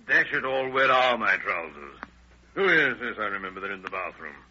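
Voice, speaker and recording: An actor playing a courteous, befuddled gentleman with fussy, old-fashioned exclamations in an old radio drama. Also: fairly low pitch, big pitch swings, a little fast, husky, full character voice. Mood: bewildered. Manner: fussy, old-fashioned British-style upper-class exclamations. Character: befuddled, courteous gentleman caught in his robe. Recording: dull vintage radio recording.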